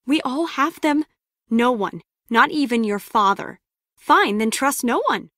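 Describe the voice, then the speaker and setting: High-voiced, brusque woman spy. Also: lively intonation, a little slow, fairly bright tone, no room sound, clean studio sound. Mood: serious, urgent. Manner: curt, biting, sardonic delivery. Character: brusque, sarcastic female lone-wolf spy, guarded and bossy. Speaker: video game voice actress. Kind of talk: scripted game character dialogue.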